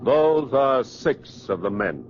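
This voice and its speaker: An American man narrates with grave, theatrical emphasis at a medium pitch. It is 1940s radio crime storytelling, with the dulled sound of an old broadcast. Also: expressive, slow pace, muffled recording, heavy background noise.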